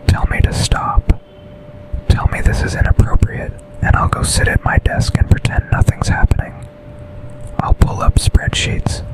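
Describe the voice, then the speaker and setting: Man acting as slow, whispering seductive boss. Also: lightly acted, low-quality recording. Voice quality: breathy whisper.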